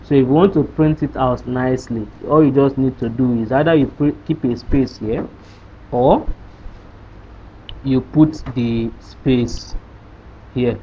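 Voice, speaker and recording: A man explains code step by step in a screen-recorded tutorial, stopping to ask rhetorical questions before he answers them. His voice sits at an average pitch. The recording sounds dull and lo-fi, with a faint hiss underneath. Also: measured pace, pauses often. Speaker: programming tutor. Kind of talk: screen-recorded coding lesson.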